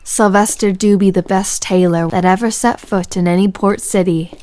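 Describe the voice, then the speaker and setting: A woman's voice: mid-range voice, steady tone, moderate pace, darkish tone, dry recording, close to an everyday voice. A woman playing a tender but commanding female pirate captain in an intimate audio roleplay, with a stage pirate accent and teasing sailor slang. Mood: warm admiration. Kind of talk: scripted roleplay audio, intimate second-person address.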